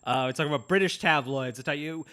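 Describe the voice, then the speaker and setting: A man co-hosting a podcast, asking questions in a relaxed, loosely phrased way. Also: on the high side, quick pace. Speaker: podcast co-host. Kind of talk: casual podcast interview.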